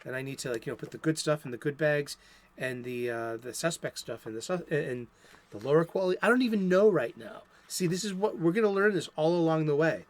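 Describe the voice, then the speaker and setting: A man's voice: fairly high pitch, animated, a little fast. A man with a Boston accent hosting a casual solo comic book talk show, rambling with many fillers and restarts. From Boston area, USA. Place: home studio.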